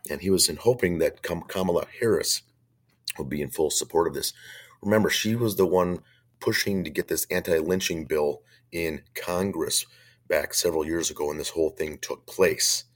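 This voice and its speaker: Hoarse low-voiced male radio host. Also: moderate pace, a bit bright. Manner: blunt, opinionated, conversational. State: hoarse from a chest cold. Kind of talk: faith-based talk radio commentary.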